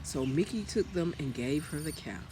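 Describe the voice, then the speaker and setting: A man reading a children's story aloud as storyteller, in an expressive manner. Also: a little high, moderate pace, noisy background. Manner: expressive, with acted character lines.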